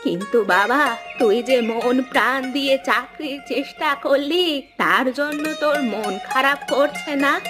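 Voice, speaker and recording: A woman voicing a doting, fussing village mother of a grown son in a cartoon. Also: sits high. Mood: concerned. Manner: fussing, tender, slow questioning. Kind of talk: Bengali cartoon voice acting. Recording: music under the voice.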